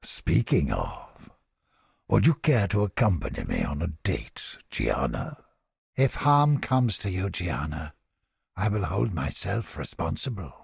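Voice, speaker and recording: A man playing a cynical, sardonic, smugly godless inquisitor scholar in a video game, with a gravelly, husky voice and deliberate, formal, theatrical delivery. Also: deep voice, wildly swooping pitch, no room sound, clean studio sound, heavily acted. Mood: smooth, courting. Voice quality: gravelly, husky, breathy edge.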